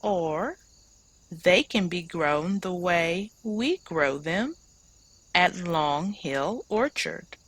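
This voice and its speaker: A woman's voice: lowish voice, lively intonation, very slow, breathy edge, dry recording, exaggerated voice. A woman reading aloud a kindly male farmer in a children's storybook, explaining things patiently and encouragingly.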